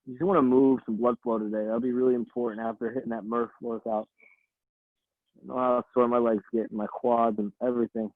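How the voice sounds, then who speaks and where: A man coaching an at-home stretching class, calmly counting down holds and talking in a soothing voice. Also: mid-range voice, fairly quick, no room sound. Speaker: fitness coach. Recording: tinny, dry phone-like audio.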